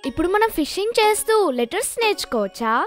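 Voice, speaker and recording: A woman voicing an eager, affectionate, excitable little child in a children's cartoon. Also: extremely high pitch, fast talker, soft background music, voice pushed up. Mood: excited.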